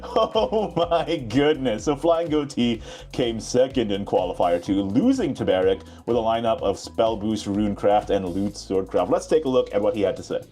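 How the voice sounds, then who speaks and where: A man's voice: fairly low pitch, expressive, normal speed, hardly any pauses, over music. Playful man co-hosting an esports draw. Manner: playful, laughs often, teasing. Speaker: esports co-host. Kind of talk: live esports bracket commentary.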